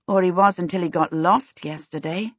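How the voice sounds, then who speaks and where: A woman's voice: lowish voice, moderate pace, husky, no room sound, dull audio. A woman voicing a polite, self-doubting teenage girl in an audiobook, earnest and hesitant. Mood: uneasy. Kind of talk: audiobook character voice.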